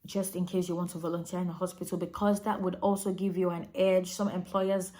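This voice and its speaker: Low, flat-voiced Nigerian woman giving advice. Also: fairly quick. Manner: chatty, direct, addresses viewers as you guys. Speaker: registered nurse giving migration advice. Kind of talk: advice vlog to camera.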